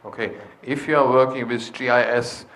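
A man's voice: mid-range voice, steady tone, brisk, fairly bright tone. A man moderating a casual workshop discussion, talking with fillers and restarts. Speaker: session moderator.

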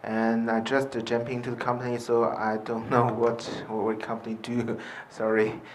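A man's voice: medium pitch, monotone, moderate pace, a little hiss or hum. A man from Shanghai introducing himself at a workshop, hesitant, with fillers and restarts. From Shanghai, China. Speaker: participant, engineer. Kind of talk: self-introduction.

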